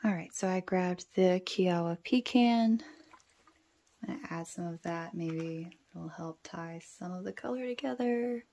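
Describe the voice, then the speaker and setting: A woman's voice: on the low side, measured pace, mellow. A woman talking casually to herself as she works on a craft, in short, self-deprecating remarks. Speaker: craft vlogger. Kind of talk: casual talk while drawing in a journal. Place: indoors at a wooden table.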